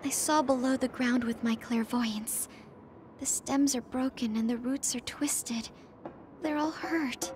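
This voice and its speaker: A woman playing a gentle, earnest but stubborn young female soldier in an anime-style video game, speaking in short, halting sentences. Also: high voice, steady tone, noisy background. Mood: downcast.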